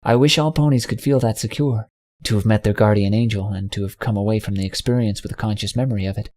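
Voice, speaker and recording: A man voicing a soft-spoken, earnest stallion pony gardener in a storybook reading, close to his own voice. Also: lowish voice, fairly even intonation, quick pace, natural voice. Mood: wistful.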